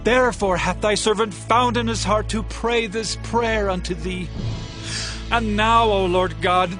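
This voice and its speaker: High-voiced, devout praying king. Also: unhurried. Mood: fervent, pleading. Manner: reverent, impassioned. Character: humble, fervent king at prayer, devout and awed. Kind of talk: dramatized audio Bible acting. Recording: faint music bed behind voice.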